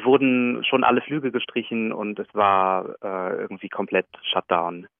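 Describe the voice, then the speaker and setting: A pianist talking over the phone as a guest, relaxed and self-deprecating, with frequent fillers. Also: male voice, lowish voice, normal speed, creaky, no room sound. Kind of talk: casual phone interview. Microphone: telephone. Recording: tinny phone line.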